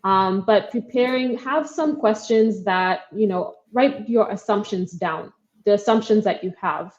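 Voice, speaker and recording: A woman's voice: mid-range voice, a little slow, darkish tone, highs cut off. A young woman with a Kenyan accent, a startup co-founder earnestly answering interview questions with frequent ums and likes.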